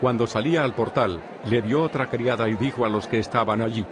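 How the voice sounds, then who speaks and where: A man narrating a dramatized scripture reading in a steady, formal storytelling manner. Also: mid-range voice, fairly quick, noisy background.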